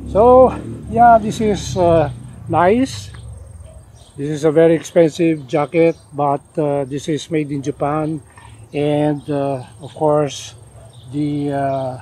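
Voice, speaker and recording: Slow-talking older man, mellow voice. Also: on the high side, steady tone, a little hiss or hum. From Philippines. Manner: unhurried, many "uh" fillers and restarts. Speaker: denim collector reviewing his jacket. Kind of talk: casual show-and-tell. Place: outdoors in a leafy garden.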